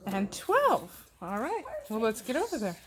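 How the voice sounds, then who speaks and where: A woman's voice: on the high side, huge pitch swings, unhurried, a crowd in the background. A woman chatting casually with her family at home as a co-host. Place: home living room.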